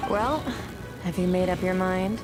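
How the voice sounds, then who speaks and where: A woman voicing an aloof, mysterious master swordswoman in a video game, speaking in a cool, terse, deadpan manner. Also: fairly low pitch, a little slow, over music, lightly acted. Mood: detached, matter-of-fact.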